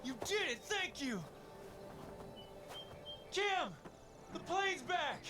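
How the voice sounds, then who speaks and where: A rugged, hot-tempered bush pilot in a video game, swearing often and swinging wildly in pitch. His voice sits high for a man and jumps around, with music under the scenes. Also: moderate pace. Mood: excited. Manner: swearing, emotional, animated outbursts. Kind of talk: video game cutscene acting.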